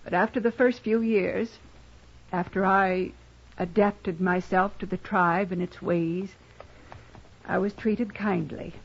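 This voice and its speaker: An actress playing a guarded, earnest woman back from long captivity in a 1950s radio drama, speaking formally and haltingly. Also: mid-range voice, slight background noise, lightly acted. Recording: dull vintage radio recording.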